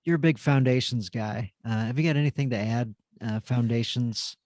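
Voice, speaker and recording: A man's voice: mid-range voice, moderate pace, a little mellow, no echo, clean studio sound. A man hosting a casual conversation, affirming his guest and asking follow-up questions. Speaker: podcast host.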